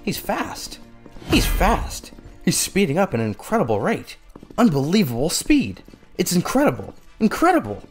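A man playing an excitable, official-sounding race announcer in a dramatic manga fandub. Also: high voice, normal speed, slightly rough, soft background music, full character voice. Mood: excited, urgent. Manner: formal explaining that bursts into breathless excitement.

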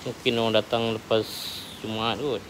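Casting: inquisitive Malaysian food vlogger, medium-pitched voice, casual chatty commentary over light cafe noise. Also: level tone, normal speed. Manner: chatty, inquisitive, repeats answers back. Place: walking outside and inside a roadside cafe. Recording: noisy handheld recording with cafe ambience.

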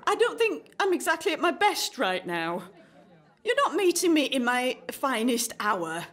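A woman's voice: high-pitched, very animated, measured pace, a bit bright, full character voice. An actress in a radio play playing a jaded, anxious single woman in her thirties, sarcastic, dramatic and emphatic. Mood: frank, overwrought. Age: 30s. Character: jaded, anxious 35-year-old single woman unravelling at speed dating.

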